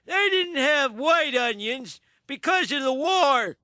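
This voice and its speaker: A man's voice: very high-pitched, expressive, takes it slowly, bright, forceful tone, dry recording, clean studio sound, full character voice. A man playing a forgetful old man in a comic cartoon monologue, telling a meandering story with emphatic delivery. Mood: rambling.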